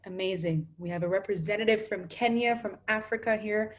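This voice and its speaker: A woman's voice: medium pitch, brisk. A woman hosting a youth panel over a video call, upbeat and peppering her talk with "you know" and "right?". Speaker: host.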